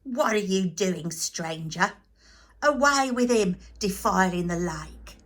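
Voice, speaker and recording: A woman voicing outraged, hostile men in a storybook crowd, shouting indignant accusations. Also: medium pitch, lively intonation, takes it slowly.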